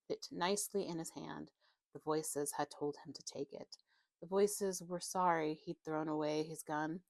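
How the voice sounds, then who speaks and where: Low-pitched woman narrating a dark novel. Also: no echo. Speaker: audiobook narrator. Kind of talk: dramatic scripted narration of a horror novel.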